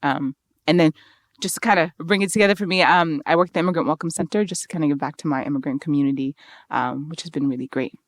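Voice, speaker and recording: A young woman from Sudan, a podcast guest in her twenties, talking excitedly with lots of likes and ums. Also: sits low, fast, dry recording. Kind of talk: casual chat.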